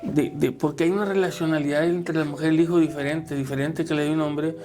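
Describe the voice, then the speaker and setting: A man's voice: on the high side, steady tone, fast talker, mellow, soft background music. A man hosting an interview, who interjects often and restarts his questions with stammers. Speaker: interview host. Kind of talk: conversational interviewing.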